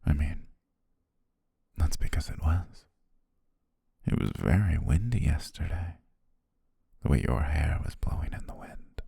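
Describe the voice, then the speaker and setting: A man playing an obsessive, menacing photographer in love, speaking slowly and intimately in an ASMR roleplay with a husky, breathy voice. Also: very animated, no echo, studio quality, full character voice. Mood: entranced, hushed. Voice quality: very deep, husky, creaky, breathy. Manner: slow, hushed, lingering pauses. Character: obsessive, menacing yandere male photographer stalking his beloved.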